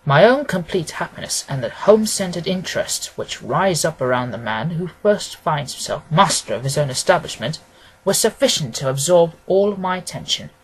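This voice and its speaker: A man narrating a classic detective story as an audiobook, reading in a measured, formal, expressive way. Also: a little high, husky, rough recording.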